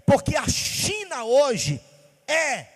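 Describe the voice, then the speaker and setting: A man's voice: extremely high pitch, animated, unhurried. A man from Bahia, Brazil, preaching a sermon in a sing-song cadence, firing rhetorical questions at the congregation. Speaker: pastor. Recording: long hall reverb.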